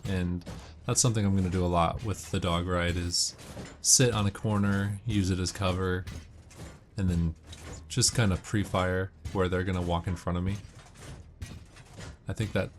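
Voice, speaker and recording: A man doing casual video game walkthrough commentary, explaining tips in a measured way. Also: deep voice, steady tone, a little hiss or hum. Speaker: gamer giving walkthrough commentary.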